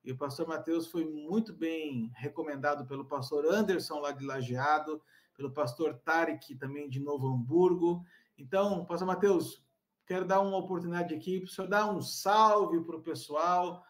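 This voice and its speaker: A man with a fairly high voice hosts a relaxed conversation, friendly and upbeat. He welcomes the guest warmly and keeps asking questions, in a casual podcast chat. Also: moderate pace. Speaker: podcast host.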